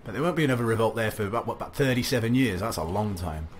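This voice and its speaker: A young man casually commentating a strategy game, thinking aloud, his voice a little gravelly from a recent cough. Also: fairly low pitch, expressive, rapid-fire. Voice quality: slightly gravelly. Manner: musing aloud, chatty, self-talking. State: recovering from a cough, slight gravel. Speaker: let's play commentator. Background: faint game music.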